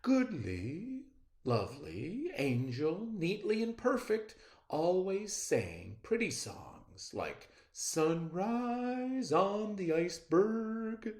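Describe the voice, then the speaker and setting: Slow, wildly animated storybook reader. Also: medium pitch, reverberant. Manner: theatrical, expressive. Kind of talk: animated children's read-aloud narration.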